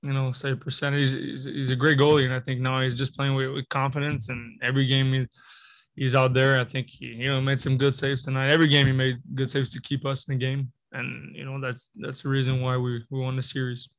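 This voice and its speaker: Flat-voiced young man, halting answers. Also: mid-range voice, no echo. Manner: halting, filled with "you know" and "I mean". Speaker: hockey player. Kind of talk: postgame press conference answers. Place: press conference room. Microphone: table mic in front of him.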